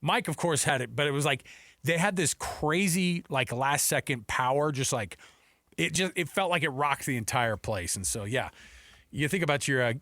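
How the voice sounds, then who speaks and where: An American man working as a sports radio host, chatting casually and excitably in rambling, repetitive phrases. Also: on the high side, moderate pace, dry recording, clean studio sound. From Seattle, United States. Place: radio studio.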